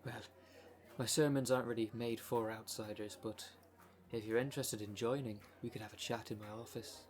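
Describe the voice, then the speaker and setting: A man playing a smooth-talking, con-artist female minister of a demon cult in an ASMR roleplay, with a polished, unctuous sales manner. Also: medium pitch, steady tone, brisk, natural voice. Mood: composed, inviting. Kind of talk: ASMR roleplay audio drama.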